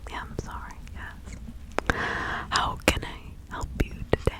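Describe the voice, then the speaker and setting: A woman plays an older village witch from the 1650s in an ASMR roleplay. She speaks in a breathy whisper at a slow, halting pace. Her manner is soothing, chatty and sympathetic, as if sharing confidences with a visitor. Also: close to an everyday voice. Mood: welcoming, sympathetic.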